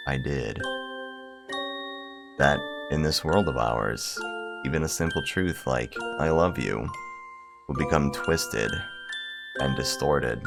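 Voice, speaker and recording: Man, extremely low voice, heavy vocal fry, casual gaming commentary and read-aloud, music playing behind. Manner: blunt, crude, sweary joking. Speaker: gaming video host reading a visual novel aloud.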